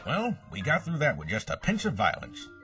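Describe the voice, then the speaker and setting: A man's voice: medium pitch, wildly swooping pitch, moderate pace, far from the mic. A man playing a wry, wordy, unflappable dog detective in a comic dub, with music behind.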